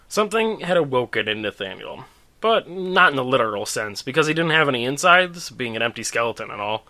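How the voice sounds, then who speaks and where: Bright-voiced man narrating a wry story. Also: medium pitch. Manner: wry, conversational asides. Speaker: storyteller and host. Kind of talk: humorous narration of own written story.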